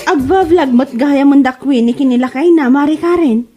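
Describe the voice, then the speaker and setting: A woman's voice: sits high, normal speed, over music, lightly acted. A woman with an Ilocano accent playing a mocking village gossip in a comedy radio drama. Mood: gossipy.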